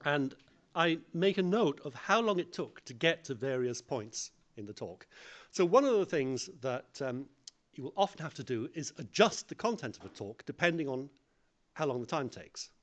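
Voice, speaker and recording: Male conference speaker, lively pitch swings, self-deprecating, plain-spoken. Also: moderate pace. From English-speaking country. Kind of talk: lecture on public speaking.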